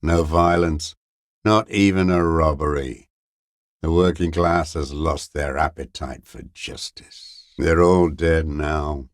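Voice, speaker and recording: Very deep, gravelly, bitter old deserter. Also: male voice, fairly even intonation, no room sound, clean studio sound, exaggerated voice. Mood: bitter despair. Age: older. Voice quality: gravelly, raspy. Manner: slow, muttering, cursing with contempt. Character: bitter, weary old male communist deserter, hateful. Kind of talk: dramatic video game character acting.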